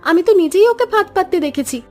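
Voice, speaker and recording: A woman playing a landlord's concerned, fair-minded young daughter in an animated children's story, questioning and emphatic. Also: very high voice, fast, faint music behind.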